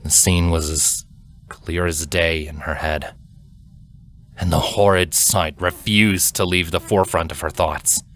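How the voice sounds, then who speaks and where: A man reading a scripted dramatic story as narrator, solemn, deliberate and expressive. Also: low voice. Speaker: audiobook-style fan fiction narrator.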